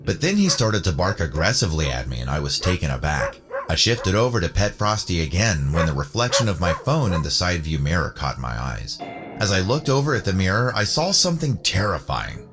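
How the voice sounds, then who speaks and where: Very deep male narrator over music. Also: sing-song, brisk. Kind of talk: scripted first-person story narration. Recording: music bed under the voice. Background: background music.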